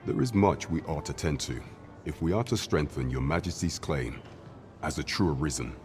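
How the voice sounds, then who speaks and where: An actor playing a grave, loyal royal officer, speaking formally and deferentially in a fantasy game. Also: male voice, extremely low pitch, animated, normal speed, a little mellow. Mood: grave, urgent. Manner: formal, deferential archaic speech. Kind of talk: scripted fantasy game dialogue.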